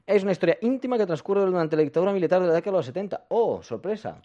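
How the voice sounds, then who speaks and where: A man's voice: high-pitched, lively intonation, racing, warm tone, lo-fi sound. A man hosting a film radio show, talking in a playful, teasing way and making jokey asides. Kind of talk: casual radio banter.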